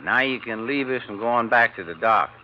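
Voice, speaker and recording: A wild old lake hermit. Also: male voice, medium pitch, a little fast, pushed and bright. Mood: coaxing. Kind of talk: 1950s radio drama acting. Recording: lo-fi old radio recording with faint noise.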